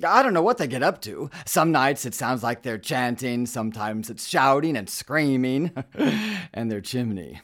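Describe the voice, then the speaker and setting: A disapproving country gossip in a radio drama. The man's mid-pitched voice rises and falls freely as he delivers folksy, opinionated grumbles. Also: big pitch swings, measured pace, a bit bright. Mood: grumbling, gossipy. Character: grumbling, gossipy, disapproving male rural neighbor with gout.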